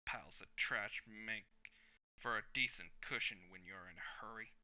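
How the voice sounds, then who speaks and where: A man voicing a calm, matter-of-fact older male vigilante in a low, understated voice. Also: unhurried, dull audio. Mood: deadpan.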